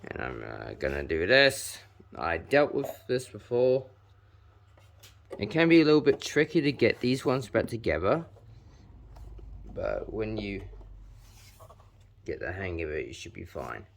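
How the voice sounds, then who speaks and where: A 19-year-old man, chatting casually through a hands-on how-to while deliberately pushing his voice extra deep. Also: wildly swooping pitch, creaky, slight background noise. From New Zealand. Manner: chatty, filler-heavy, talks while working with his hands. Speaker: vlogger.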